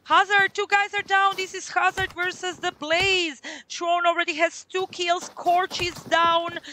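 A young woman with a Croatian accent, commentating a mobile shooter tournament live and getting excitable at big plays. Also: high-pitched, slight background noise. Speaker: streamer casting a mobile game tournament. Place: small room at home, indoors. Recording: loud gunfire from game audio.

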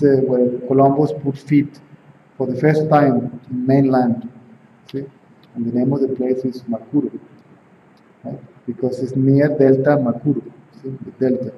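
A man's voice: mid-range voice, fairly even intonation, moderate pace, dark tone. A man in his forties from Venezuela, with a Venezuelan Spanish accent, giving an informal spiritual talk at home, often asking "right?" and "see?". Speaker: spiritual teacher. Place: home room with tiled walls, indoors. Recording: roomy, dull camera sound, faint hiss.

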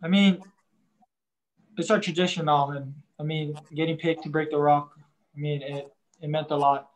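A young man, a college quarterback, answering post-game press questions in a halting way, leaning on "I mean" and crediting his teammates. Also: on the high side, steady tone. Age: young adult. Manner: halting, starts nearly every phrase with "I mean".

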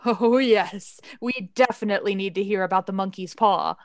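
A woman's voice: medium pitch, moderate pace, no echo, studio quality, close to an everyday voice. A woman playing an eager, teasing young son in a radio play. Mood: curious.

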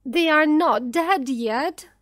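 A woman's voice: a little high, big pitch swings, slow pace, fairly bright tone, heavily acted. A woman voicing a desperate, pleading mother in a storybook, crying out insistently. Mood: frantic insistence. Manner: screaming, insistent, imploring. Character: desperate, pleading mother stranded on storm-beaten rock.

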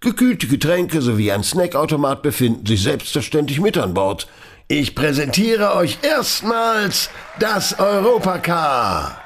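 A pompous, husky aristocrat. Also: male voice, a little high, sing-song, measured pace, fairly bright tone, full character voice. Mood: pompous, proud. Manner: pompous, ceremonious presenting. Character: grandiose, self-satisfied aristocratic male financier prince. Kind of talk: German audio drama acting.

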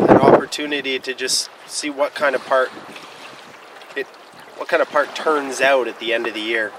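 Joking young man, wind-blasted lake boat. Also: mid-range voice. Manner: deadpan, joking, offhand. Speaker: snowboarder. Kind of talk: casual rambling vlog to camera. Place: small open boat on a lake outdoors in winter. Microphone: wide-angle camera mounted on the boat. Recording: distant mic with loud wind and boat noise.

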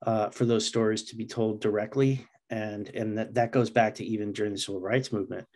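A middle-aged man speaking as an interview guest, earnest and halting, in conversation over a video call. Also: lowish voice, fairly even intonation, darkish tone. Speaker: interview guest, comic writer.

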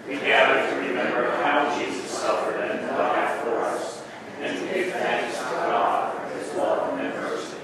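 A man reading the liturgy aloud in a slow, measured way in a church. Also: mid-range voice, fairly even intonation. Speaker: liturgy reader. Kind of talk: responsive reading. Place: church sanctuary. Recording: distant echoey church, loud noise.

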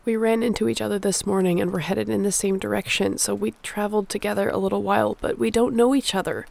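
Woman voicing young female survivor, sick, gravelly fry, earnest, pleading, protective, audio drama. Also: mid-range voice, fairly even intonation, fast, darkish tone. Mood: defensive, weary. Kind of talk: audio roleplay acting.

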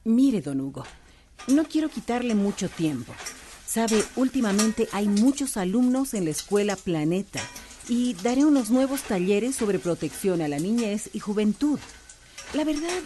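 A woman's voice: medium pitch, mellow. A woman playing a patient, persuasive police corporal in a radio soap, courteous and earnest.

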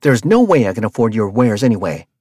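Man playing a foul-mouthed woman mercenary, raised shrill pitch, loud and swooping, audiobook. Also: very animated, a little fast, dry recording, studio quality. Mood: furious. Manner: yelling insults, brash and loud.

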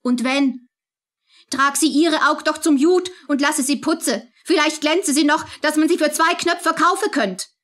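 Radio play: defiant young working-class mum, earthy, colloquial, unhurried. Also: female voice, sits high, fairly bright tone. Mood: scornful.

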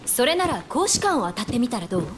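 A woman's voice: a little high, sing-song, fast, background noise. A woman playing a spirited, frank young woman, a doctor's daughter, in a Japanese video game, casual and teasing. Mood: determined. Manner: casual, teasing, self-assured.

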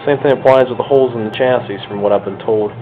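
A man's voice: mid-range voice, quick pace, soft background music. An American man giving a casual, unscripted hands-on walkthrough in a folksy, self-deprecating manner. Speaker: hobbyist radio restorer presenting own video. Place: home kitchen or dining room, indoors. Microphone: handheld camera's built-in microphone. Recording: tinny band-limited phone-like camera sound.

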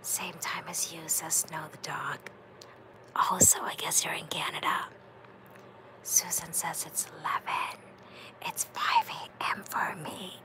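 Slow whispering woman reading live chat. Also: low voice, poor audio. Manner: soft whisper, affectionate. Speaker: livestream host. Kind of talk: ASMR livestream, reading chat comments.

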